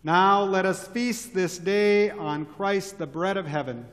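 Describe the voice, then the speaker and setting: A man's voice: high-pitched, fairly bright tone, a little hiss or hum. Solemn male pastor in a reverberant church. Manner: measured, solemn, reciting set prayers. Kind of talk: liturgical speech and chant. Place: large church sanctuary. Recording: church with long reverb and organ.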